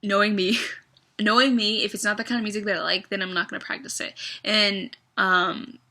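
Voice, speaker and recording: A young adult woman talks straight to the camera in a confessional vlog. Her voice is bright and clear. She rambles and hesitates, with plenty of fillers as she works through her thoughts. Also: mid-range voice, fairly even intonation, a little fast. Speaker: vlogger.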